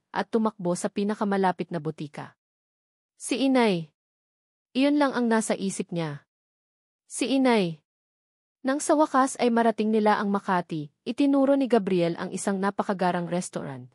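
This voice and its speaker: Woman doing dramatic story narration, middle pitch, very dry recording. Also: animated, moderate pace, darkish tone, no echo.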